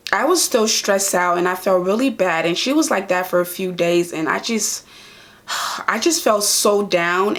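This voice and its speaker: A young woman from Liberia, a nurse and vlogger, answering viewer questions in an earnest, casual chat to camera in a small room. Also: medium pitch. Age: young adult. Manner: earnest, talks straight to viewers.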